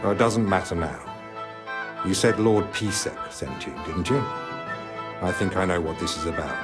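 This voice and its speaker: An actor playing a learned, stern but caring medieval physician in a video game, clipped and authoritative. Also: male voice, low-pitched, normal speed, over loud music, lightly acted. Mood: civil. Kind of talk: video game cutscene acting.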